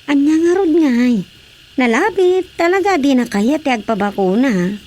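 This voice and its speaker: Excitable villager, fairly high voice, questioning, Ilocano Filipino accent, radio comedy. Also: female voice, unhurried. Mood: incredulous. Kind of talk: radio drama comedy acting.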